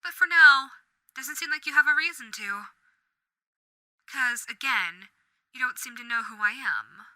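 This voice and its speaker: A gleaming, theatrical female demon croons with lilting menace, as a woman acts her out. Her voice sits a little high and very bright, jumping up and down in pitch. The recording is dry and close, like a storybook audio drama. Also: animated, measured pace, long pauses, dry recording, exaggerated voice. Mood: teasing. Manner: theatrical, silky and mock-polite. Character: female Radio Demon, suave, menacing yet doting. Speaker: voice actor. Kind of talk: audio roleplay acting.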